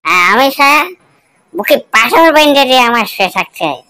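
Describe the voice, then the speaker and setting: A woman's voice: high-pitched, very animated, normal speed, bright, forceful tone, heavily acted. A woman voicing a miserable, comically self-pitying married village man who complains in coarse slang, in a cartoon. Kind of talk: cartoon comedy dialogue.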